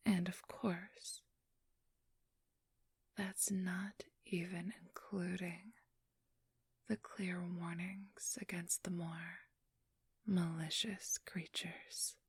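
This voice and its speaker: A woman acts out a cunning supernatural female thief in an ASMR roleplay. Her voice is a very breathy, hushed whisper, unhurried and kept very level. She chooses her words with formal, condescending precision. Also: fairly low pitch, little pitch movement, no room sound, clean studio sound, full character voice. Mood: scholarly, assured.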